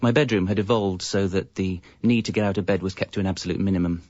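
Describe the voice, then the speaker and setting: Radio comedy lead: idle, evasive composer narrator, deep-ish and deadpan, on a dull-sounding recording. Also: male voice, quick pace, no room sound. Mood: wry narration. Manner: dry, self-justifying. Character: lazy, evasive slacker jingle composer telling his story. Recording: slightly muffled radio recording.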